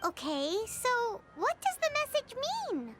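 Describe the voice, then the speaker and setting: A woman voicing a tiny, excitable, childlike fairy companion in a video game, squeaky, expressive and inquisitive. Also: measured pace, loud music behind the voice, heavily acted. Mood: curious.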